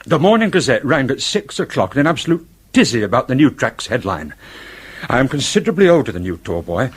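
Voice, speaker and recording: A man's voice: medium pitch, big pitch swings, fast, husky. A man acting in a radio drama as a fussy, self-righteous older copywriter, pompous and precise, with an English accent. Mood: pompous, defensive.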